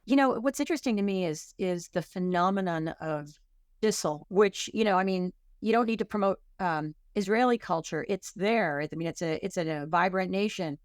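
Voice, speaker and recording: Woman, interview guest, smooth clear voice, lowish pitch, chatty with fillers. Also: normal speed, no room sound, studio quality. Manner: chatty, many fillers and restarts. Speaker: author and klezmer singer, guest. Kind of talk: conversational interview answers.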